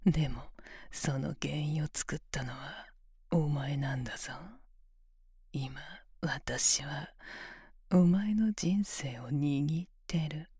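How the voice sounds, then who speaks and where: Woman doing a cruel female examiner, low voice, breathy whisper, mocking, bossy, slow. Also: a little mellow, dry recording, exaggerated voice. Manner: taunting, commanding, mostly whispered close to the ear. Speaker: voice actor in an audio roleplay. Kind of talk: whispered ASMR roleplay.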